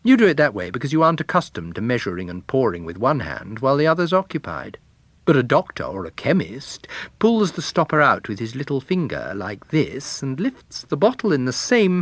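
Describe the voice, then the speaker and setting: A man voicing a disagreeable, argumentative gentleman with a curt manner in a detective story reading. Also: medium pitch, wildly swooping pitch, normal speed, no room sound, voice pushed up. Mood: contradicting, explaining. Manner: curt, contradicting.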